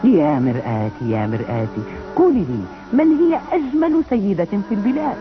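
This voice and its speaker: Woman acting a wicked, imperious countess, wildly swooping pitch. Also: big pitch swings, brisk, natural voice. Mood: imperious, demanding. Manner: theatrical, imperious, commanding. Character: vain, wicked countess stepmother, imperious and jealous. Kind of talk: dubbed cartoon acting. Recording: muffled old cartoon dub, loud music behind.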